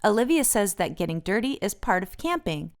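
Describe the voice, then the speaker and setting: A woman's voice: medium pitch, very animated, brisk. A woman narrating a picture book aloud for children as the host of a read-aloud.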